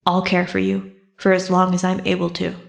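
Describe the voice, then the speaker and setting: A woman voicing a protective, tender male teacher in a quiet, steady, restrained way for a story reading. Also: on the low side, moderate pace, creaky, no room sound. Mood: solemn tenderness. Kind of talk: audiobook character voice.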